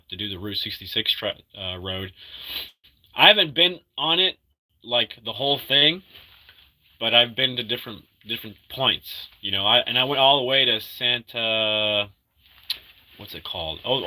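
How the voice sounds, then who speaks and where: Bright-voiced man rambling on a livestream. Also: on the low side, poor audio. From Argentina. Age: 30s. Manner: rambling, halting, full of fillers and restarts; upbeat when greeting viewers. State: sick. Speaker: livestreamer reading chat. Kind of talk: casual live-stream chat.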